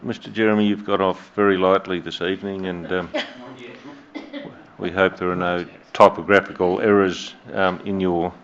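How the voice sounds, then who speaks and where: A man with an Australian accent chairing a council meeting as mayor, measured and hesitant with many fillers, recorded on dull lo-fi room audio. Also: sits low, little pitch movement, a bit bright. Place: council chamber.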